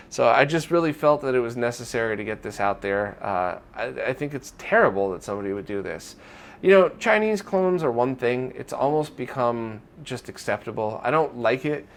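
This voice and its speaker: A man presenting an informal retro gaming news roundup in a conversational, opinionated way. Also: medium pitch.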